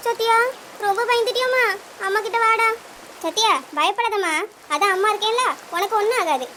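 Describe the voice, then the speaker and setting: A woman playing a worried village mother who talks fast and urgently in an amateur audio drama. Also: very high-pitched, bright, forceful tone, a little hiss or hum. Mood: scolding, soothing. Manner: urgent, anxious, fast-talking. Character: worried village mother, warm elder sister figure.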